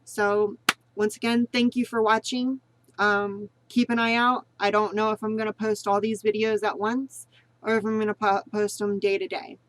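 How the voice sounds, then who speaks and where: A woman's voice: mid-range voice, flat delivery, moderate pace, a little hiss or hum. Chatty woman teaching voice training. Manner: rambling, repeats herself with many fillers; earnest and encouraging. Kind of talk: casual spoken tutorial.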